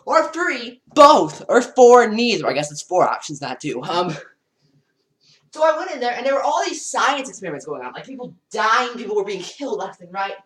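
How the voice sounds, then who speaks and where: A teenage boy tells a rambling parody story, acting out bits as he moves around. His voice sits fairly high and swings widely in pitch. It's caught from across a small basement on a webcam mic, sounding roomy and distant. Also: very animated, moderate pace, fairly bright tone. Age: teens. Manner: rambling, jokey, acts out scenes while moving around. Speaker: vlogger. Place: small basement room, indoors. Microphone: built-in webcam mic across the room.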